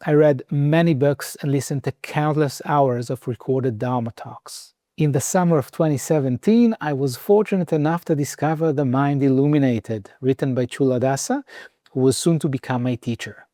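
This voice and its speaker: A man's voice: medium pitch, moderate pace, mellow, dry recording. Israeli-accented man in his forties, dry close sound. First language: Hebrew. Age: 40s. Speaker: meditation teacher. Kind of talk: scripted personal narration.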